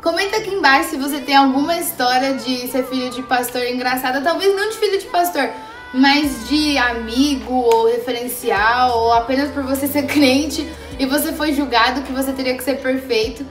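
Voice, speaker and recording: A young woman vlogger chatting casually and motivationally, animated and slangy, speaking straight to her viewers. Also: high voice, normal speed. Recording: roomy echo, faint background music.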